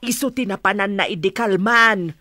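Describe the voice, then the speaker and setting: A woman's voice: medium pitch, normal speed, sharp tone, no room sound, lightly acted. A woman playing a concerned, insistent mother in a radio drama, speaking with an Ilocano accent. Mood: indignant. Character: concerned, insistent mother advising her married daughter.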